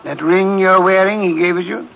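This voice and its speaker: An actor playing a pompous, brilliant detective who speaks in curt, formal, precise phrases, in 1950s radio mystery style. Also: male voice, sits high, steady tone, moderate pace, gravelly, a bit bright, poor audio. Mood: formal, probing. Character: pompous, sedentary, brilliant middle-aged male private detective. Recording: vintage 1950s radio broadcast, faint hiss.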